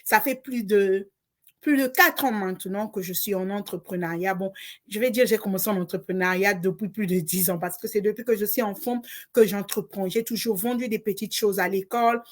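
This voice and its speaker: A woman's voice: mid-range voice, fairly even intonation, moderate pace. Emphatic woman coach from Congo. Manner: emphatic, repetitive, preacher-like encouragement. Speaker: online business coach. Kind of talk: motivational course introduction.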